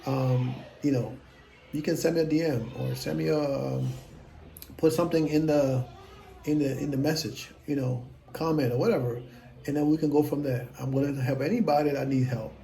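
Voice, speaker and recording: Man, 50s, investing vlogger, casual to camera, blunt and rambling, mellow low tone. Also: fairly even intonation, moderate pace, vocal fry, a little hiss or hum, poor audio. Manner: blunt, self-assured and rambling.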